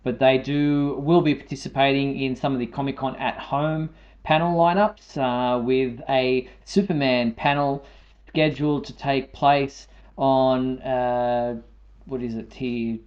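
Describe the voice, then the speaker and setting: A man hosting a live fan news show, announcing items in a halting way with frequent fillers. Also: medium pitch, steady tone, darkish tone, dull audio. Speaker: show host.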